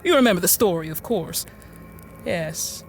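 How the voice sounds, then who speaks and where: A woman's voice: fairly low pitch, animated, moderate pace, faint music behind. An actress playing a genteel, infirm woman inventor in a radio drama, with courteous, hesitant, old-fashioned speech. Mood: polite, hesitant. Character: genteel, infirm middle-aged woman inventor, politely apologetic and bookish. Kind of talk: radio drama monologue and dialogue.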